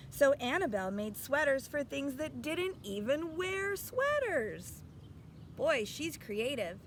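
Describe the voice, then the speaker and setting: A woman reads a children's story aloud in a high, expressive voice with clear background noise. She takes her time and turns to young listeners with teacherly questions. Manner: expressive, instructive.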